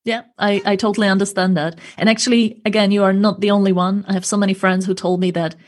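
A woman's voice: medium pitch, little pitch movement, fast talker. A woman working as a language coach and interpreter, answering interview questions in an earnest, explanatory way, often ending points with a tag question.

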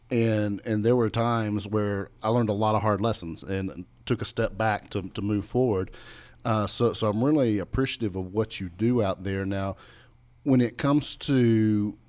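A man's voice: fairly low pitch, darkish tone. A man hosting a conversational local business radio interview in an informal, bantering way. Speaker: radio show host.

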